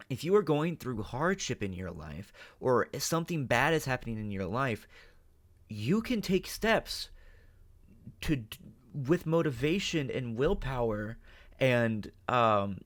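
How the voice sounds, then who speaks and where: A man's voice: mid-range voice, fairly bright tone. A man hosting a reaction video, speaking off the cuff in an emphatic, opinionated, rambling way. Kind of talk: unscripted reaction commentary.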